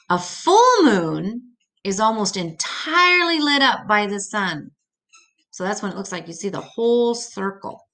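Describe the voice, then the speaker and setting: Slow-paced older woman reading to children. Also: on the high side. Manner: simple, patient explaining. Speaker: storyteller reading a children's educational book. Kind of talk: read-aloud to children with explanatory asides.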